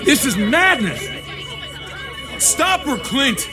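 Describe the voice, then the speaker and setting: A man's voice: very high voice, very animated, extremely slow, over music, crowd noise, full character voice. An actor playing a defiant, protective man in a video game drama, earnest and urgent. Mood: appalled, urgent.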